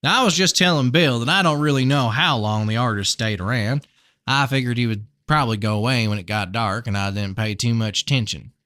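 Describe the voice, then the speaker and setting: A man's voice: mid-range voice, fairly quick, sharp tone, no echo, close to an everyday voice. A man reading a novel aloud, voicing a friendly, helpful rural man in a chatty, folksy way. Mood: friendly, eager.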